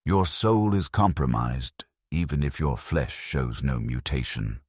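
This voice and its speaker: Tech priest interrogator , performed by a man: bottomless low register, rough-edged, stern, formal, condemning, sci-fi audio drama. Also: measured pace, a little mellow, dry recording, studio quality, full character voice. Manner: stern, pronouncing, formal zealot. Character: cold accusing tech priest interrogator in bronze mask.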